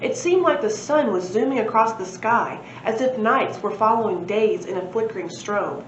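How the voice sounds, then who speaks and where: A woman reading a children's storybook aloud in an expressive narrating style, as a library presenter. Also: fairly high pitch, moderate pace. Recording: roomy, dull lo-fi, faint noise.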